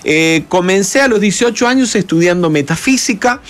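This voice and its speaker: A man from Argentina with an Argentine accent, speaking as a radio interview guest in a measured, explanatory way. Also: high-pitched, lively intonation. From Córdoba, Argentina. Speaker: guest, emotional intelligence expert and speaker. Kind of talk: radio interview, recounting own path.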